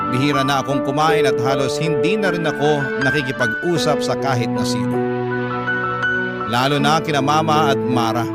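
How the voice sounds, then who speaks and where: Filipino guy telling a sad radio story, slightly high voice, loud music behind him. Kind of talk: dramatic first-person story narration.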